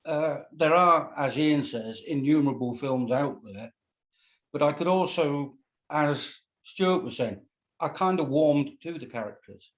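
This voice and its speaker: A man's voice: on the high side, animated, unhurried, a bit bright. A man on a reading panel, giving casual feedback in a mild, hesitant, meandering way. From Britain.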